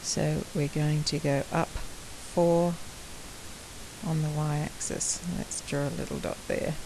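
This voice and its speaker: A woman teacher with a croaky voice gives a patient maths lesson recorded at home on a laptop, clearing her throat now and then. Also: deep voice, flat delivery, mellow, slight background noise, low-quality recording. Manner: slow, patient explaining, with frequent clearing of the throat.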